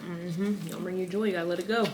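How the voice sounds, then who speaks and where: A woman's voice: fairly low pitch, fairly even intonation, fast, background noise. A young woman vlogger chatting casually and teasing over a seafood meal, with a playful drawl. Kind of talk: casual mukbang chat.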